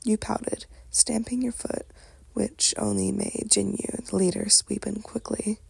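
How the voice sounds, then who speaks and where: Female ASMR storyteller, very husky whisper with heavy creak, soft delivery. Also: mid-range voice, fairly even intonation, unhurried, no echo. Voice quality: breathy, whispery, crackly. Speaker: ASMR roleplay narrator.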